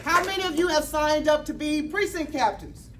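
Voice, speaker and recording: A woman campaign organizer loudly rallying volunteers with call-and-response and giving instructions. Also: high-pitched, expressive, moderate pace, recorded from a distance, crowd noise, background noise. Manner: loud, call-and-response energy. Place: campaign office with crowd, indoors.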